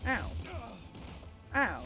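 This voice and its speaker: A woman live-streaming a video game, commenting casually and excitably with mild swearing. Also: very slow, over music.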